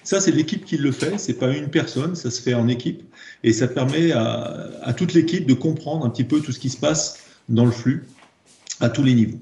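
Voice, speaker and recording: A man's voice: medium pitch, normal speed, dark tone, slight background noise. A man with a French accent explaining slides as a trainer, hesitant and often repeating words as he goes. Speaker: presenter, trainer in process improvement. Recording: dull, echoey room recording.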